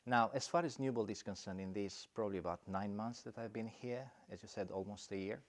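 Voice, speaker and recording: A middle-aged man from Romania speaks in a low voice, thinking aloud as he answers. He pauses often and restarts his sentences, with plenty of fillers along the way. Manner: thoughtful, halting, many fillers and restarts. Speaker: college principal. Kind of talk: interview answers.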